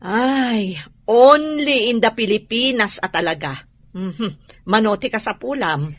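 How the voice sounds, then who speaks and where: Bright-voiced, weary generous aunt. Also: female voice, on the high side, animated, slow, exaggerated voice. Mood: wary. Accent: Ilocano. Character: weary, generous aunt home from working overseas. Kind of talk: Ilocano radio comedy drama acting.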